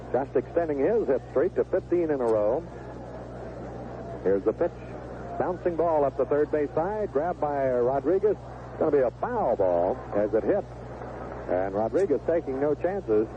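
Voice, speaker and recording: A man calling live baseball play-by-play on the radio as the game's announcer. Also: medium pitch. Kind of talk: live sports commentary. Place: stadium broadcast booth. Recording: narrow, noisy 1970s AM radio broadcast.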